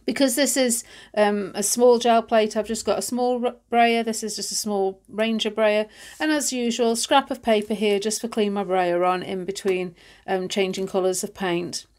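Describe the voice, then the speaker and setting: A woman with a stuffy, nasal, congested voice talks through a hands-on craft demonstration. She is relaxed and chatty, pausing with ums as she explains each step. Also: medium pitch. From United Kingdom. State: nasal and congested from hay fever. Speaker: craft tutorial host. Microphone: overhead camera on hands, voice-over.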